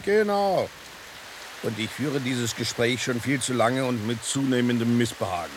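An actor playing a worldly, philosophical stray tomcat in a radio drama, with a sardonic, dismissive drawl. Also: male voice, mid-range voice, animated, normal speed, close to an everyday voice. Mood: curt, sardonic. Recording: constant rain-like hiss behind the voice.

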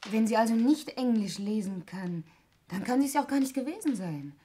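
An actress playing a cool, teasing sister from a wealthy family in a 1960s German radio play, with an ironic, casual delivery. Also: female voice, on the high side, expressive, brisk, mellow, natural voice. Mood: teasing, curious. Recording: noisy 1960s radio drama recording.

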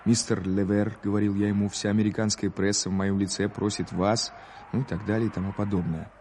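An actor playing an eager, ironic American newspaper correspondent in a radio play, theatrically formal and wry. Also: male voice, on the low side, flat delivery, fast talker, darkish tone, slight background noise, close to an everyday voice. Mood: wry, exasperated. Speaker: actor narrating and playing a reporter. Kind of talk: radio play storytelling and dialogue.

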